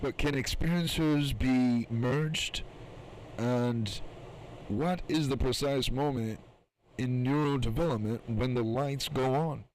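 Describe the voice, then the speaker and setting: A man acting as a thoughtful, philosophical scientist in an audio drama, speaking in a measured, explanatory, reflective way. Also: medium pitch, a little mellow, slight background noise, close to an everyday voice, rough recording. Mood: musing, intent.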